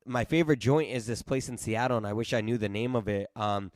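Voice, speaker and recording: A man's voice: mid-range voice, fairly even intonation, brisk, a crowd in the background. A man in his late twenties hosting a casual interview over a video call, eager and full of restarts and repeats. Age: late 20s. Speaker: podcast host. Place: East Coast home, remote video call.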